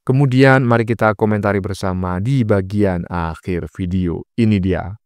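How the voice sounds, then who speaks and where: A man with a low, clean voice hosts informal political reaction commentary. He talks in a mocking way and throws out rhetorical questions. The sound is dry, with very little room. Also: normal speed, clean tone, no echo. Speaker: host of a reaction channel.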